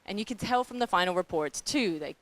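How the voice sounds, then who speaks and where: A middle-aged woman from New Zealand with a New Zealand accent, speaking on a conference panel from a grantmaking foundation in a blunt, candid, animated way, with asides to the audience. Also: mid-range voice, fairly quick, rough recording.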